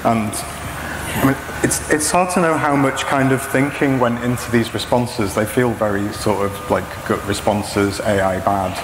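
Male co-presenter, lowish voice, measured and explanatory, echoey hall with clear background noise. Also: steady tone. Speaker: co-presenter, technical collaborator. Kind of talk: informal conference talk. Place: stage in a large presentation tent or hall.

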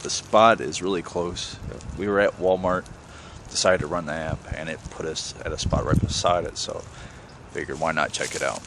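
A man in his thirties talks in a relaxed, rambling way as he explores outdoors with a camera at arm's length. His voice sits very low, and clear outdoor noise runs behind him. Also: normal speed. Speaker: outdoor vlogger. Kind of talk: casual vlog narration. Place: outdoors in woods and fields. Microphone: handheld camera at arm's length.

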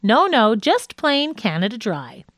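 A woman's voice: fairly high pitch, sing-song, unhurried, a bit bright, no room sound, studio quality, heavily acted. A woman voicing a wry, slightly impatient male comedian from a radio comedy script in an audiobook.

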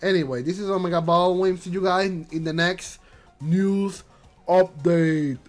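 A man's voice: sits high, unhurried, faint music behind. A man hosting a casual commentary vlog, stuffy and congested from allergies, rambling and restarting his sentences, with English that is not his first language. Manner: rambling, repeats himself, frequent restarts. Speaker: channel host.

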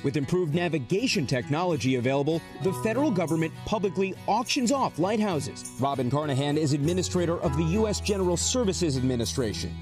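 A young man narrates a news feature in an upbeat, scripted way, his voice a little high and full of rises and falls. Music plays clearly under his words. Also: animated, fast, hardly any pauses. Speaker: news correspondent.

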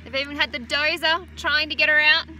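An Australian woman in her thirties, a teacher gently reading a picture book aloud to children outdoors on a farm, adding chatty asides. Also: high voice, fairly even intonation, brisk, slight background noise, rough recording. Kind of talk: children's story time read-aloud. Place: outdoors on a farm beside a utility vehicle. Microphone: phone camera held close.

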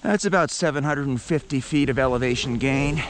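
A man's voice: medium pitch, fairly quick, a bit bright. A man casually narrating a backcountry ski tour outdoors, rambling with many fillers. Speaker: ski vlogger. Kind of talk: casual narration while skiing. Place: outdoors on a snowy mountain trail.

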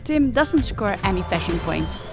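A young woman narrating her sailing vlog in a calm, plain-spoken way. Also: medium pitch, a little slow, music in the background. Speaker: sailing vlogger. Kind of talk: voice-over narration.

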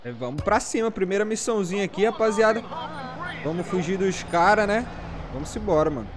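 A man's voice: high-pitched, expressive, brisk, crowd noise. A Brazilian man in his twenties hosting a gaming video, commenting casually in slang and addressing viewers like buddies. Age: 20s. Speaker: gaming channel host.

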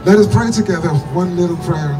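A man preaching in the street, earnest and exhorting, pausing so an interpreter can follow. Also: high-pitched. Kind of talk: outdoor street preaching in English. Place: outdoor square by a stage. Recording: distant PA voice, music behind.

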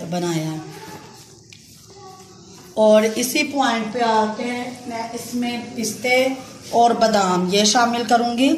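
Chatty woman cooking in an echoey kitchen. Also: mid-range voice. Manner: chatty, repeats fillers often. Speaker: cooking channel host. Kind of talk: home cooking demonstration. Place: home kitchen. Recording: echoey kitchen with background noise.

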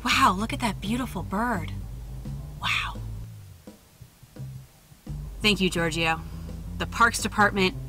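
A woman's voice: mid-range voice, takes it slowly, pauses often, bright, forceful tone, soft background music. A woman playing an earnest, overdramatic park ranger who loves birds, in a comedic game cutscene. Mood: dramatic, grateful.

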